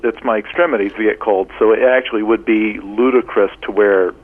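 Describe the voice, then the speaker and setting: A man co-hosting a podcast by phone, joking with deadpan, mock-serious delivery. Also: fairly low pitch, brisk. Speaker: podcast co-host. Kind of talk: comedic podcast banter. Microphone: phone line. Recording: muffled phone-call recording.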